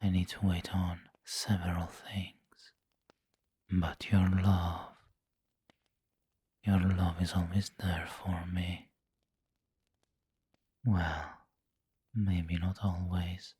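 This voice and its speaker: A man playing a refined, doting and possessive vampire lord in an intimate ASMR roleplay, with a breathy whisper and formal, tender phrasing. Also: deep voice, little pitch movement, warm tone, no echo, heavily acted. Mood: fond, teasing. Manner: slow, formal, tender, indulgent.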